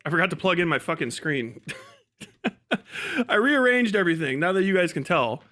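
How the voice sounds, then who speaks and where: Bright, high-pitched man ranting on a livestream. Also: moderate pace, soft background music. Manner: animated, mocking, swears freely. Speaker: live streamer. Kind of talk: casual livestream chat with profane ranting.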